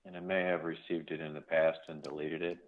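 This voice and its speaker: A man's voice: very deep voice, flat delivery, a little fast. A man answering a sales call, chatting casually over the phone. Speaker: person answering a cold call. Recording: muffled narrow phone line.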